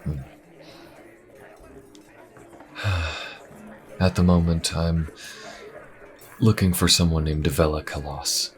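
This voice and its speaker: A man playing a weary, easygoing inquisitor in a fantasy audio roleplay, chatting in a wry, conversational way with musing pauses. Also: fairly even intonation, some chatter behind. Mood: earnest, casual. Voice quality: very deep, gravelly. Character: weary, easygoing adult male Inquisition agent and adventurer. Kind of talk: fantasy audio roleplay, in-character small talk to the listener.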